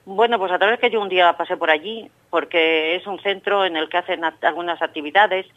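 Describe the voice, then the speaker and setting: A woman's voice: on the low side, fairly quick, a bit bright. A middle-aged Spanish woman from Castile, a painter talking about her work in a radio interview, hesitant with many fillers and restarts. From Spain, Castile. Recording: muffled phone line.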